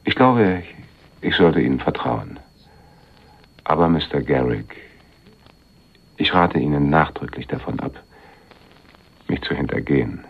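Very deep, gravelly crime boss. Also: male voice, lively intonation, exaggerated voice. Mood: coolly threatening. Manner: formal, deliberate, drily sardonic. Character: menacing, coolly businesslike man weighing hiring a killer. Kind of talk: 1980s German radio crime drama. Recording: dull, muffled radio recording.

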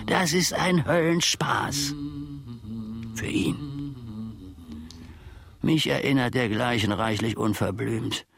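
Grumbly pompous old servant man, low croaky voice, slow, radio play monologue. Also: a crowd in the background. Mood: aggrieved. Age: older. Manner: pompous, musing, theatrical. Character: grumbling, self-important old manservant, retired soldier, musing about his master.

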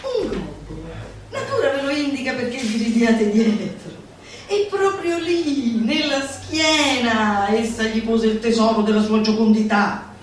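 A woman's voice: fairly high pitch, expressive, a little slow, slight background noise. A woman gives a theatrical, declamatory and mocking recitation, stretching words for emphasis. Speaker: actress performing a literary monologue. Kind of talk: theatrical recitation of a satirical manifesto. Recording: very echoey room, distant, music behind. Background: music.

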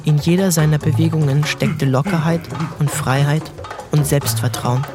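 A woman with a deep, low-pitched voice reads a novel aloud in a calm, measured way. Her narration is steady and literary, with loud music running underneath the whole time. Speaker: audiobook narrator.

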